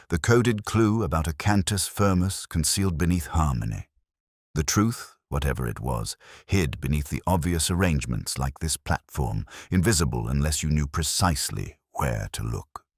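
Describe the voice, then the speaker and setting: A man playing a weary, brooding older police inspector, narrating his case in a measured, solemn, deliberate way. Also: rumbling low, expressive, dry recording, clean studio sound, full character voice. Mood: brooding, watchful. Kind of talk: scripted first-person mystery narration.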